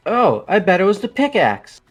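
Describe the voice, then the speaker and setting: A man's voice: sits high, wildly swooping pitch, normal speed, faint music behind, heavily acted. A man voicing a casual, blunt, sarcastic young man in a fan-voiced game. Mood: questioning. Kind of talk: fan-voiced visual novel game acting.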